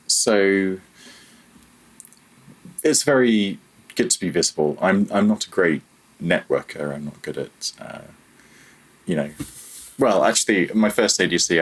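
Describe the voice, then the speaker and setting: A man's voice: low-pitched, fairly bright tone, breathy edge. A man talking casually and self-deprecatingly as a guest in an interview. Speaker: interview guest, audio DSP developer.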